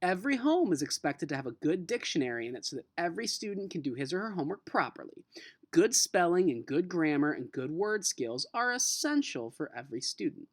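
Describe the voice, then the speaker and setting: A man's voice: fairly high pitch, big pitch swings, a little fast, no echo. Man playing a stern woman teacher, storybook read-aloud, formal, emphatic, varied intonation, dry sound. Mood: formal, instructive. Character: strict, formal woman teacher devoted to dictionaries.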